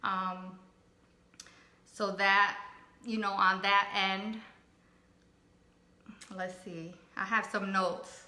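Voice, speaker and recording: A woman in her forties, raised in Connecticut with Spanish as her first language, chatting casually as a co-host in an unhurried, thoughtful way. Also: medium pitch, level tone. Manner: soft-spoken, unhurried, thoughtful with frequent fillers. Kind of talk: casual personal talk. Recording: echoey room.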